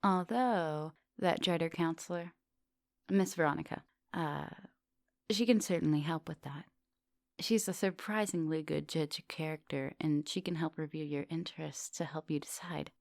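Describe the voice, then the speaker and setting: A woman playing a seductive, gentle vampire professor in an intimate ASMR roleplay, murmuring and whispering coaxingly up close. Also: low-pitched, normal speed, dry recording. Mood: sated, caring. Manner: breathy murmurs and whispers, coaxing pet names. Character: seductive female vampire professor, hungry yet gentle and polite. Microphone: close microphone.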